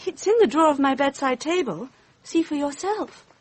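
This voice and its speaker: A woman's voice: high voice, lively intonation, moderate pace, a little mellow. An actress playing a plucky, flippant young upper-class Englishwoman, protesting lightly in a radio drama.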